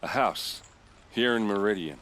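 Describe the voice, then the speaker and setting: Deep-voiced, sardonic guard captain. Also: male voice, lively intonation, unhurried, fairly bright tone, a little hiss or hum. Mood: doubtful. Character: gruff grieving city guard captain, hard drinker, sardonic. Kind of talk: video game cutscene acting. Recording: game music behind the voice.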